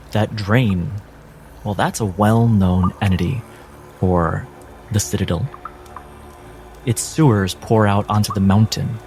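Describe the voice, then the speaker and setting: A man game master narrating a tabletop game dramatically, with deliberate, suspenseful pauses. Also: deep voice, a little mellow. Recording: music behind the voice. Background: music.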